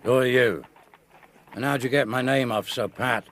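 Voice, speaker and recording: An actor playing a gruff, resentful male village innkeeper in a radio drama, curt and hostile in the way he talks. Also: mid-range voice, normal speed, a bit bright, close to an everyday voice. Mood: surly.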